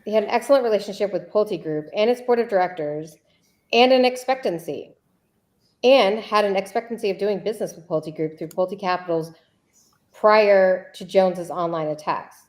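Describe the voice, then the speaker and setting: A woman reading a deposition transcript as a persistent attorney who needles a witness and repeats questions deliberately. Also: mid-range voice, darkish tone, natural voice. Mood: insistent, exasperated. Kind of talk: read-aloud deposition transcript.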